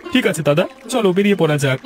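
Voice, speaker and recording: Male actor as businesslike village boat trader, cartoon tale, higher-pitched, hearty, brisk. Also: expressive, faint music behind. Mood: eager, businesslike. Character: busy boat trader organising his crew. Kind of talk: Bengali cartoon story dubbing.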